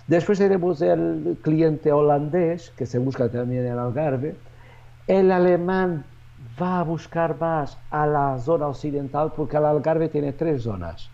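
Portuguese man speaking halting Spanish. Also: a little high, creaky, mellow, dull audio. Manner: halting, with many restarts and fillers. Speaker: real estate agent. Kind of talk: interview answers.

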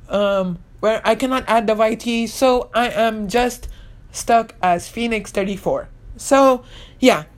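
An eighteen-year-old woman hosting her own podcast in casual, rambling chat, full of fillers and restarts, earnestly insisting she means what she says. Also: a little high. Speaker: podcast host and YouTuber.

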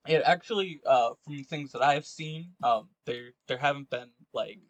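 A man's voice: medium pitch, normal speed, dry recording, rough recording. A man hosting a casual podcast, talking in a joking, irreverent, slangy way. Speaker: podcast host. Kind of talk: casual podcast chat and commentary.

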